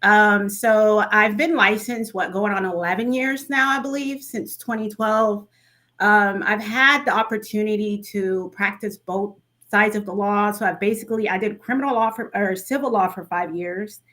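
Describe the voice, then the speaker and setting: Woman guest from Cameroon, thirties, mid-pitched, casual interview speech full of ums. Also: moderate pace, bright, forceful tone. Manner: conversational, with many ums and self-corrections. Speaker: lawyer guest. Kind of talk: interview answers. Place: studio.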